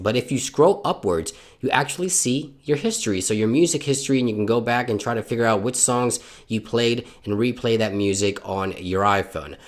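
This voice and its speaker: A man from Puerto Rico, a bilingual Spanish speaker, hosting a tech channel and walking viewers through phone tricks step by step in an upbeat, instructional way. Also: medium pitch. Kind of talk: tech tips walkthrough.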